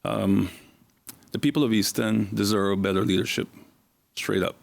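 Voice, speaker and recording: A man with a hoarse, gravelly voice that sits low for a man. He speaks bluntly and plainly as a candidate at a public forum, circling back over his points. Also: fairly even intonation, moderate pace. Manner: blunt, plain-spoken, repeats himself. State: hoarse from flu. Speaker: election candidate. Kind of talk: campaign speech at a public forum.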